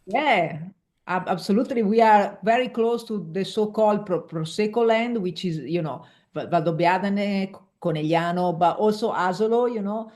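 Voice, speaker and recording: An Italian woman who speaks English as a second language, with a clear Italian accent and a voice of ordinary pitch for a woman. She talks with animated energy as a coaching guest, and she keeps restarting her words as she reaches for the right English phrase. Her speech is conversational, in the loose manner of a casual interview. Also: moderate pace. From Veneto, Italy.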